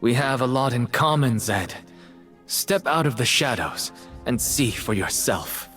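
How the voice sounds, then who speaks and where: An actor playing a sorrowful, reflective artist mage in a video game, speaking in solemn, poetic lines. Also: male voice, mid-range voice, lively intonation, unhurried, a bit bright, faint music behind. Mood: quietly probing.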